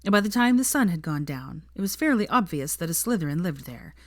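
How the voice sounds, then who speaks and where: A woman's voice: lowish voice, big pitch swings, a little fast, no echo, clean studio sound. A woman narrating a story from a script in an audiobook style. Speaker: audiobook-style fan fiction reader.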